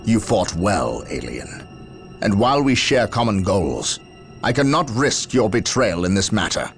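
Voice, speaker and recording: A man's voice: lowish voice, big pitch swings, a little slow, faint music behind. A man voicing a stern, righteous warrior commander in a video game, with an earnest, clipped, commanding delivery. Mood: guarded respect. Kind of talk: dramatic military game acting.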